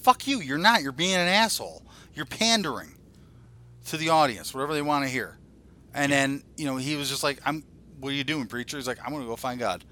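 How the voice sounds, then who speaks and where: A man's voice: mid-range voice, animated, a little fast, bright, forceful tone. A man in his forties co-hosting a podcast, ranting casually in a blunt, profane, irreverent way. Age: 40s. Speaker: podcast co-host.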